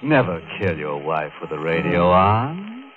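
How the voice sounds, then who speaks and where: A man's voice: sits low, huge pitch swings, a little slow, heavily acted. An actor playing a gleefully macabre, sly horror show host, savoring his words with mock friendliness in 1950s radio style. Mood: ghoulish glee. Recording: muffled old radio recording with music.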